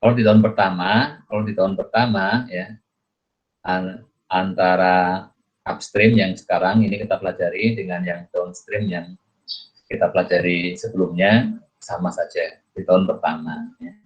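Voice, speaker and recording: A deep-voiced man explains material like a lecturer. He moves haltingly, repeating himself and tagging phrases with small checks. The audio is muffled and lo-fi. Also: fairly even intonation. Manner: halting, repetitive, punctuates phrases with a checking tag. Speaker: accounting lecturer.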